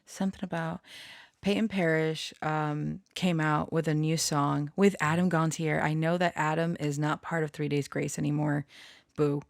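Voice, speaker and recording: A woman's voice: sits low, flat delivery, normal speed, creaky, dry recording, studio quality. A woman rambling casually to her online followers, giggly and prone to swearing. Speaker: online storyteller and channeler.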